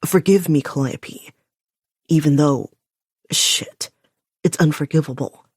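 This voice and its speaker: A woman's voice: deep voice, slow pace, a little mellow, husky, dry recording, studio quality, voice pushed down, full character voice. A woman reading an audiobook voices an anxious, remorseful man who pleads earnestly and apologizes. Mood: pleading.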